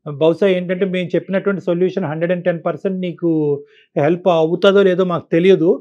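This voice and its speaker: Fast-talking man counsellor, fairly high voice. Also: fairly even intonation, highs cut off. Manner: explanatory, repeats and lists points. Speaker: counsellor on a call-in show. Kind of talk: advisory talk to caller and co-host.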